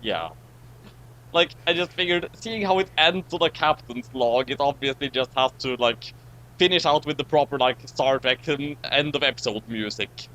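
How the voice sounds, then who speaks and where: A man hosting a casual commentary in a rambling, animated way. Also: medium pitch, moderate pace. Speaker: host and commentator. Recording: noisy amateur recording with hum.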